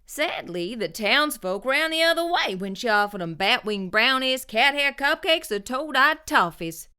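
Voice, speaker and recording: A middle-aged woman reading a children's picture book aloud with expressive storytelling. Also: on the high side, measured pace, clear, resonant tone. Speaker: children's book reader, channel host.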